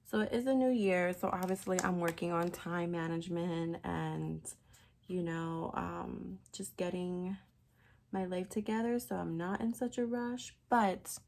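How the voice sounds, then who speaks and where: Young female beauty vlogger, raspy and a bit stuffy, low-ish gravelly voice, rambling casual delivery. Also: fairly even intonation, measured pace, a little mellow. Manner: chatty, rambling with many fillers. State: raspy from a sinus infection. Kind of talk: casual makeup tutorial.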